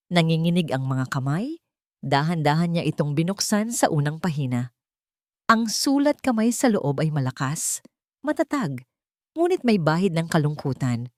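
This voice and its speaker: Low-voiced Filipino woman narrating a story. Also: a little mellow, no echo, clean studio sound. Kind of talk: scripted dramatic narration of a romance drama story.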